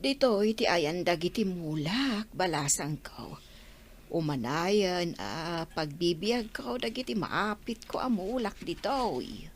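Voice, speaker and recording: A woman's voice: fairly low pitch, lively intonation, takes it slowly, slight background noise. A woman playing a fond, motherly older aunt from the countryside in a radio drama. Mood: fond, explaining. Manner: affectionate, motherly. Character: fond older aunt living on her farm.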